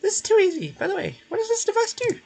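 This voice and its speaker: A man's voice: very high voice, wildly swooping pitch, fast talker, gravelly, darkish tone, pitched above the performer's own voice, heavily acted. A man voicing a small, childlike game companion who is eager, chirpy and exclamatory. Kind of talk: game character voice acting.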